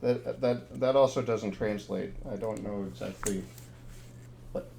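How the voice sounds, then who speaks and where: Relaxed rambling man running the game. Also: fairly low pitch, unhurried, vocal fry, a little hiss or hum. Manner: rambling, many ums and restarts, easygoing. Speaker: game master. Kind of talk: narrating a tabletop horror game.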